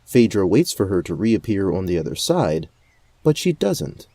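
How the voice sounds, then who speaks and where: A man's voice: low voice, big pitch swings, normal speed, vocal fry, dark tone, breathy edge, dry recording. A man giving scripted narration for a fantasy audio drama. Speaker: narrator and game storyteller.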